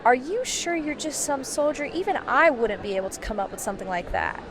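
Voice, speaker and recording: Woman as a high-voiced shrewd agent amid crowd. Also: lively intonation, a little fast. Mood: impressed, curious. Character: shrewd, candid female covert mission handler. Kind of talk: radio drama dialogue. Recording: clear crowd ambience behind voice. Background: crowd ambience.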